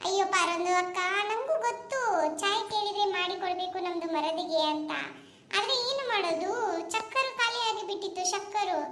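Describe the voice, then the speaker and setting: A very high, bright, wheedling neighbor lady, voiced by a woman, with an Urdu-flavored accent. She coaxes and pleads in a comedy sketch, her voice ringing in a roomy space. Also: normal speed, faint music behind. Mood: pleading. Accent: Urdu-flavored Kannada. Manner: wheedling, mixes Hindi-Urdu words. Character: chatty neighbor woman begging sugar. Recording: roomy echo, faint background noise.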